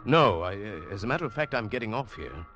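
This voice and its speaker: A man playing a formal, sceptical gentleman traveller who narrates an eerie 1940s radio drama. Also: on the low side, fast talker, muffled recording. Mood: bewildered, dismissive. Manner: formal, expressive, polite but incredulous. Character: reserved, sceptical English gentleman traveller recounting an eerie tale. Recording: vintage radio drama, music behind.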